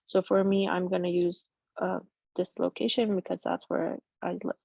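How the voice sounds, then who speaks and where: A middle-aged woman whose first language is Persian, casually walking through a software demo on a video call with many fillers and restarts. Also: fairly low pitch, steady tone, moderate pace, vocal fry, no echo. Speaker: presenter demoing a chatbot tool.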